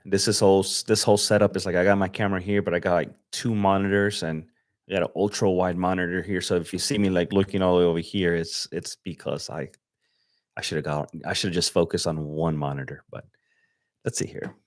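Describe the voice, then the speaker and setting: Man, deep low voice, relaxed slangy livestream talk, filler words, dry room. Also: fairly quick, no echo. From Los Angeles, USA. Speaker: photographer livestreaming. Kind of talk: casual livestream chat while editing. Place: home desk setup.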